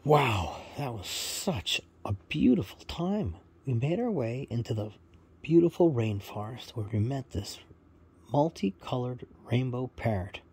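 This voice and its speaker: Man playing a husky-voiced, wide-eyed storybook boy. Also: medium pitch, big pitch swings, unhurried, a little mellow, exaggerated voice. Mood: delighted. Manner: hesitant, polite, marveling. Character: polite, wide-eyed young boy on a forest adventure. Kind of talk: children's bedtime story dialogue.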